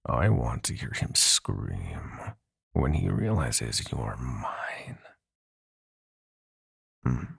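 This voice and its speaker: Audio roleplay villain: possessive, sadistic nightmare god. Heavy gravel, unhurried, theatrically swooping menace. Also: male voice, very deep voice, heavily acted. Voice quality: gravelly, husky, breathy growl. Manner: slow, taunting, theatrical purring.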